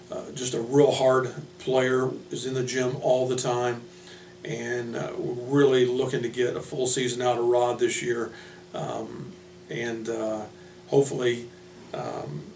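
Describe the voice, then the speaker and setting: Man, American, coach's team introduction, even pitch, halting delivery, distant mic, noisy background. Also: gravelly. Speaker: head basketball coach. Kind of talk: informal team introduction speech. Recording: echoey room from a distance, hum, noise.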